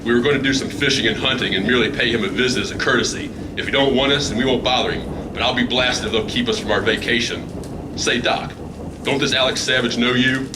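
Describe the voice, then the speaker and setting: A man playing a gruff, excitable adventurer sidekick in an old-time radio play, with blunt, folksy exclamations. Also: medium pitch, fast, lightly acted. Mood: blustery. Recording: noisy live stage, distant microphone.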